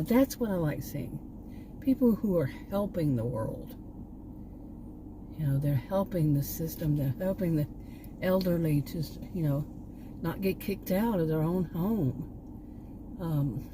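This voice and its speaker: A woman vlogger chatting casually, meandering and restarting her sentences, her voice going hoarse. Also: lowish voice, background noise. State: losing her voice. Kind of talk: rambling casual chat. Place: outdoors.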